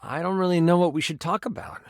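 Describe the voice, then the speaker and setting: A man's voice: high-pitched, fast talker, no room sound, clean studio sound. An actor voicing a personified gaming die as a nerdy, earnest, awkward man who stammers and gets theatrical, in video game style. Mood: shy, discouraged. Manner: hesitant, stammering, effusive, theatrical gamer talk.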